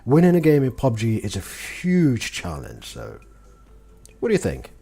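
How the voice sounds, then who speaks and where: A young man streaming a game, talking slowly and calmly in a soothing voice. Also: medium pitch, gravelly, breathy edge, soft background music. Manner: slow, calm, deliberate talk. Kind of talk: casual gaming stream chat.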